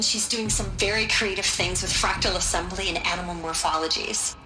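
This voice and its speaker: A woman voicing an informal, eager engineer briefing recruits in a video game, with a halting, wry delivery. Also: mid-range voice, natural voice. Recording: roomy echo, music underneath.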